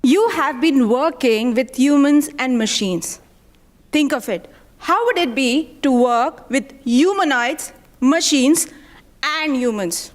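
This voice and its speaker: A woman makes a persuasive pitch and urges the audience to vote for her. Her voice is quite high. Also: lively intonation, slow pace. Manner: persuasive, asking for votes. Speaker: speaker pitching a talk.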